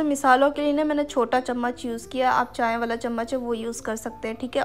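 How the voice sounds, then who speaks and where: A woman giving a chatty, step-by-step cooking voice-over, leaning on filler phrases as she explains each step. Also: sits high. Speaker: cooking vlogger.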